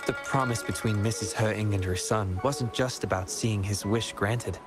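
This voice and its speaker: A man acting a polite, thoughtful young adviser in a video game, earnest and calm. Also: lowish voice, normal speed, lightly acted. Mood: earnest, reflective. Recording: game music behind the voice.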